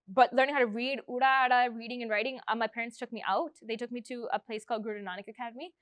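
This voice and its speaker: A woman in her twenties from Canada talks through an oral history interview in an upbeat, rambling way, full of "like" and "um". Her voice is smooth and crisp. It is recorded dry and close, with no room sound. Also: a little high, steady tone, very fast, fairly bright tone, dry recording. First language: Punjabi. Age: 20s. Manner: chatty, upbeat, lots of fillers and restarts. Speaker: interviewee, schoolteacher.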